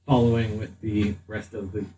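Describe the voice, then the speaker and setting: A man improvising narration as the game master of a tabletop game, often pausing mid-sentence. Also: on the low side, flat delivery. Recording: noisy room, distant mic.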